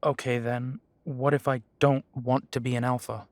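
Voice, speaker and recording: A blunt, guarded young male werewolf acted by a man in an audio drama. His voice is mid-pitched and recorded very dry, with a questioning, defiant edge. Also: flat delivery, moderate pace, no echo, lightly acted. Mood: confused, sceptical. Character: defiant, bewildered young werewolf, reluctant pack leader. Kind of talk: audio roleplay drama acting.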